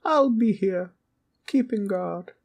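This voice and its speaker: A woman's voice: mid-range voice, slow, a little mellow. A woman voicing a small, kindly teddy bear guardian in a comfort audio roleplay, sweet and polite with courtly, old-fashioned wording and a soothing bedtime manner. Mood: warm, playful.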